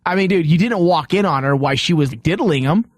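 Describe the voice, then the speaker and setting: Brash joking podcast guy, high voice that swings all over, swears a lot, real casual. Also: expressive, brisk, no room sound, studio quality. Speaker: podcast host. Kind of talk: casual crude comedy talk show banter.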